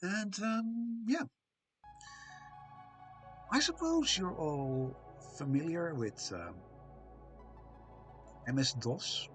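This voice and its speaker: A man live-streaming, chatting casually with viewers, reading chat aloud with a soft, soothing voice and self-deprecating humour. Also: a little high, very animated, drawn-out words, long pauses, faint music behind.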